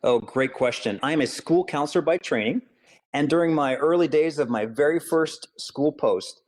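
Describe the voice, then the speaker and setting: A man from Hawaii, an author and school counselor, answering interview questions in an earnest, steady way. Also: on the high side, normal speed.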